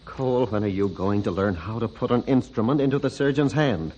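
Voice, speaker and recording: An actor playing a commanding, exacting surgeon in old-time radio melodrama style. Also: male voice, lowish voice, fairly quick, warm tone, muffled recording, slight background noise, lightly acted. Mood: brisk, commanding. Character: commanding, exacting male surgeon burdened by guilt.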